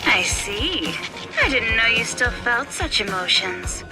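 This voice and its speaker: A woman's voice: fairly high pitch, lively intonation, takes it slowly, music in the background, low-quality recording. A woman playing a gleefully villainous, unhinged teenage girl, taunting and crude, with theatrical delivery in a game cutscene. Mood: gloating, teasing. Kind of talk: video game cutscene acting.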